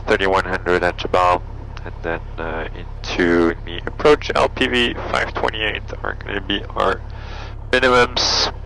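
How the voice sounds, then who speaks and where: A man with a low, bright voice runs through flight checklists as the pilot of a small plane. He speaks in short, clipped phrases, thinking aloud, with a gravelly fry and faint cockpit noise behind him. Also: low-quality recording. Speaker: private pilot briefing and flying a small plane. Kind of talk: procedural cockpit briefing and checklist talk. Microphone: aviation headset.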